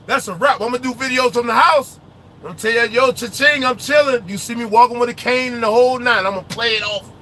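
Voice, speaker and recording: High, bright-voiced trucker ranting loudly. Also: male voice, fast, a little hiss or hum. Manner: loud, animated, slangy trash talk. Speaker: truck driver vlogger. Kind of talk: casual ranting chat while driving. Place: inside a truck cab on the road.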